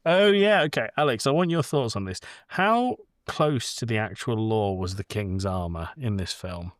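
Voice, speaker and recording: Guy with a lowish voice rambling playfully on a podcast, opinionated, pretty dry close sound. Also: lively intonation, normal speed, no echo. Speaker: podcast co-host. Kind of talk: casual chat.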